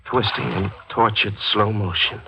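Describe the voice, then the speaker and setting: An actor playing a haunted, guilt-ridden newlywed man unraveling into madness in a 1940s radio mystery, intense and halting. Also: lowish voice, steady tone, vocal fry, sharp tone, husky, full character voice, low-quality recording. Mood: brooding narration. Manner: intense, halting, repeats himself. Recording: vintage 1940s radio recording with music.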